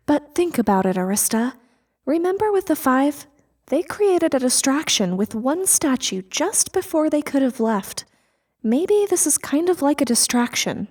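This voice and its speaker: A woman's voice: sits high, big pitch swings, unhurried, a little mellow. A woman playing an uneasy, questioning crew member in a sci-fi audio drama, hesitant and musing but insistent. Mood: worried, speculating.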